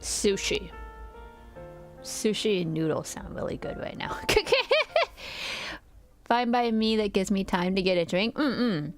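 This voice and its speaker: A woman's voice: mid-range voice, slow, long pauses. A woman live streaming a game, chatting casually and informally with viewers. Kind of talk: casual game stream chat with reading aloud. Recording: game music behind the voice.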